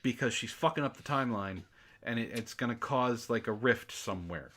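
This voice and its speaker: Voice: mid-range voice, brisk, a bit bright. A sarcastic, exasperated book club host who swears freely, reading aloud mockingly and breaking off for casual commentary.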